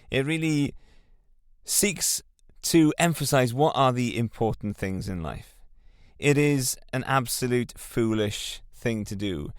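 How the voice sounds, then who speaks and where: A man's voice: mid-range voice, clear, resonant tone, no echo, clean studio sound. A man hosting his own podcast, telling a story and encouraging listeners in an earnest, motivational monologue. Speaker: podcast host.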